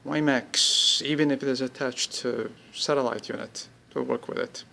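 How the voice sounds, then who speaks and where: A man with a mid-pitched voice walks through an app in an informal, unscripted way. He builds his sentences haltingly, in short bursts. The homemade recording carries some faint noise. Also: fairly even intonation, gravelly. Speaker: app demonstrator. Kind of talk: informal, unscripted product demo.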